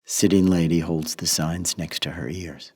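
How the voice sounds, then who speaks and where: A man's voice: sits low, fairly even intonation, measured pace, darkish tone, breathy edge. A man playing a detached narrator who reads out stage directions in a radio play.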